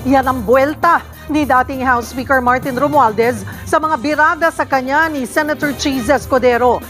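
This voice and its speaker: A woman's voice: sits high, moderate pace. A Filipino woman reading a scripted news report as a television anchor. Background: background music.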